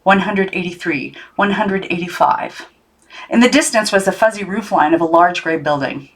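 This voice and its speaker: A woman reading a children's novel aloud as an audiobook narrator, in an expressive storybook style. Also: lowish voice, normal speed. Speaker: audiobook reader.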